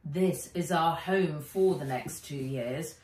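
A British woman voicing a concerned, steady male guardian in a storybook, measured and questioning. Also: low-pitched. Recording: roomy, a little distant from mic.

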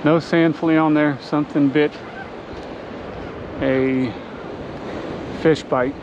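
A man's voice: on the high side, fairly even intonation, takes it slowly. A man casually talking to himself while fishing from an ocean pier, recorded on a body-worn action camera. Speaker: fishing vlogger. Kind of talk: casual first-person commentary. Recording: muffled action-camera audio with wind and surf.

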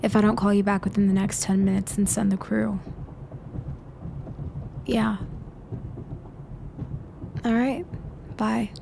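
Woman acting as slow, creaky-voiced female mafia schemer. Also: mid-range voice, little pitch movement, background noise. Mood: cool, businesslike. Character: cunning female mafia leader feigning a helpless stranded motorist. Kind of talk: ASMR roleplay acting.

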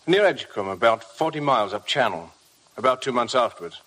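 Guarded, polite estate manager. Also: male voice, mid-range voice, animated, fairly quick, a bit bright, slightly rough, low-quality recording. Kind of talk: 1950 radio drama acting.

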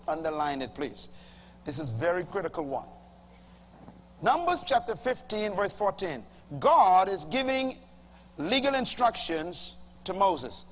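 A middle-aged Bahamian man preaching a sermon to a congregation, emphatic, swinging from loud bursts to low asides. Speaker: pastor. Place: large church auditorium with a congregation. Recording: reverberant hall, faint hum.

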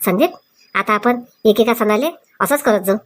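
A woman's voice: mid-range voice, normal speed, natural voice. Cartoon casting: a woman as a cheerful, go-getting young wife, eager talker. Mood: pleased, eager. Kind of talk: comic cartoon dialogue.

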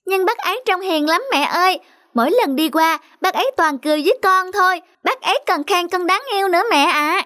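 A woman's voice: extremely high pitch, brisk, sharp tone, dry recording, studio quality. A woman voicing Na, a sweet, trusting little girl in a cartoon, speaking in an earnest, childlike way. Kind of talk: cartoon voice acting.

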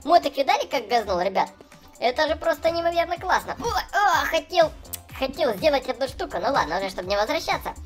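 A man's voice: very high voice, big pitch swings, a little fast. A man voicing a cheerful young clown for small children, gushing and chatty as he narrates gameplay. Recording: voice over background music. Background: music.

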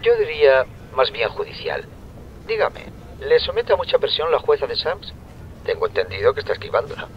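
A man's voice: mid-range voice, big pitch swings, a little fast, highs cut off, a little hiss or hum, lightly acted. An actor playing a smug, needling male journalist in an audio drama. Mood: smug, taunting. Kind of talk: audio fiction acting.

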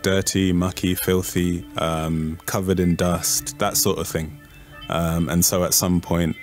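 Poet, male, very low voice, reflective and searching, soft music under. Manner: thoughtful, with restarts and fillers. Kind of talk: reflective interview answers.

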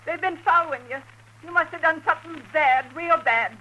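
An actress playing a wary, weary, poor homesteader's wife with a rural Western American accent, guarded and plain, in a 1950s radio western. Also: female voice, extremely high pitch, normal speed, bright, forceful tone, dull audio. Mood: anxious, accusing. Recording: hissy, thin vintage 1950s radio recording.

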